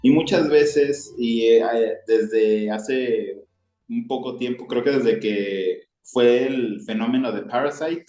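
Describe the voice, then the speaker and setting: A man hosting a podcast interview, chatting casually with quick asides. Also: medium pitch, warm tone. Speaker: podcast host. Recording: roomy echo with faint music.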